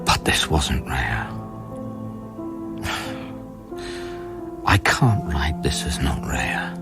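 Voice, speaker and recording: Deep voiced haunted space scientist, guilty and hesitant, radio play with loud music under him. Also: male voice, animated, drawn-out words, exaggerated voice. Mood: grieving, brooding. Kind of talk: radio play acting and first-person narration.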